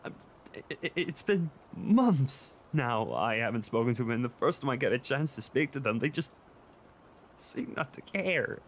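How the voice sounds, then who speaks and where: A man playing an insecure, tearful boyfriend who seeks comfort in an intimate audio roleplay, speaking in a halting, stammering way. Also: mid-range voice, gravelly, slight background noise. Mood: upset, frustrated. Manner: halting, stammering, with nervous soft laughs. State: emotional, tearful.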